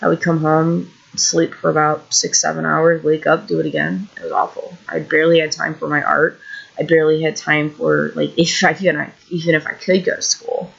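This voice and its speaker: Gravelly-voiced woman venting on a couch. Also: low voice, a little fast, lo-fi sound. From Illinois, USA. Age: about 30. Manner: rambling, frustrated, self-deprecating. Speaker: vlogger. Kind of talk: candid personal vlog rant. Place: indoors on a couch.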